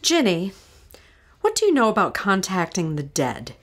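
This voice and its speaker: A woman's voice: medium pitch, wildly swooping pitch, moderate pace, a bit bright. An actress playing a haughty, sardonic wealthy woman in an old-time radio drama, with a theatrical, imperious delivery. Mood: imperious, probing.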